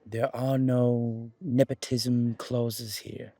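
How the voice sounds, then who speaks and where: A man's voice: mid-range voice, level tone, drawn-out words, mellow, no room sound. A man playing a genial, courteous museum curator in a roleplay audio drama, effusive and given to repeating himself. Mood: warm, welcoming. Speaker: voice actor.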